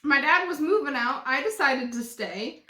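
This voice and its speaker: A woman's voice: high voice, animated, moderate pace, pushed and bright, reverberant. A woman in her early twenties chatting casually at home in her living room, rambling and laughing often. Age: 20s. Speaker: vlogger. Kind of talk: casual chat.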